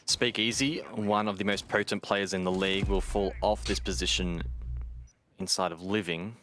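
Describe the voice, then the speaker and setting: A man's voice: low-pitched, fairly even intonation, moderate pace, a bit bright, faint music behind. A man giving live commentary and analysis on an esports match.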